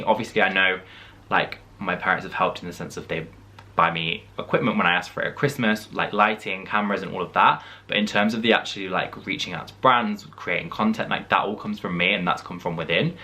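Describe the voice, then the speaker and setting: A man's voice: deep voice, sharp tone. A young man in his twenties from the English Midlands, chatting casually as he answers viewer questions in a vlog. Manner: chatty, reflective, frequent "like" and "um" fillers. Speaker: vlogger.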